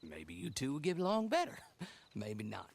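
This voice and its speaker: An actor playing a cheerful, roguish, talkative horse thief in a western video game, with a folksy rural American drawl. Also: male voice, a little high, normal speed, gravelly, heavily acted. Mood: sly, jovial. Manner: drawling, chuckling, folksy, animated.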